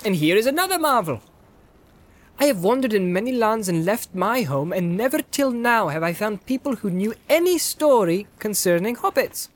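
Man playing a high-voiced, cheeky young halfling. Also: big pitch swings, normal speed. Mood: polite. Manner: mock-formal, pompous politeness. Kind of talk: dramatised storybook reading.

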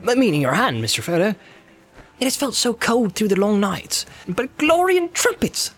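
A man's voice: high-pitched, big pitch swings, normal speed, a bit bright, slightly rough, soft background music, voice pushed up, full character voice. A man reading aloud, voicing a young male hobbit servant who talks eagerly and deferentially. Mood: tender.

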